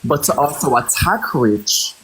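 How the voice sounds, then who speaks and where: A man's voice: on the high side, lively intonation, unhurried, background noise. Emphatic Jamaican man on a livestream. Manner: emphatic, repeats words when stressing a point. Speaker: livestream host. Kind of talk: heated livestream discussion.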